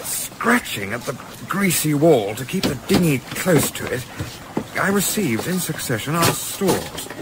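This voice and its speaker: An actor playing a fastidious, weary gentleman in a radio play, with a measured, formal, literary way of speaking. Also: on the high side. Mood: wry, put-upon. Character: fastidious, weary English gentleman narrator, reluctant holidaymaker. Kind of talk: radio play narration and dialogue. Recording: clearly noisy, ambient sound behind.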